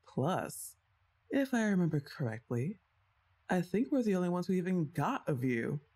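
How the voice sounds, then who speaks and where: A woman's voice: fairly low pitch, very animated, normal speed, darkish tone. Woman playing a chatty, teasing older sister. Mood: playful. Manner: chatty, teasing, laughs often. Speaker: voice actress. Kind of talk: ASMR roleplay addressed to the listener.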